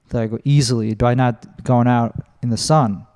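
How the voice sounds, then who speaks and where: A young man hosting a casual podcast chat, talking in an awkward, halting way with many restarts. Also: medium pitch, fairly even intonation, a little mellow, big-room sound. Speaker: podcast host.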